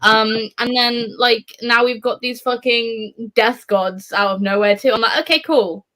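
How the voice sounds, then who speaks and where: A woman's voice: fairly high pitch, measured pace, fairly bright tone. A woman in a casual live book discussion, rambling along in a wry, sweary way. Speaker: book readalong panellist.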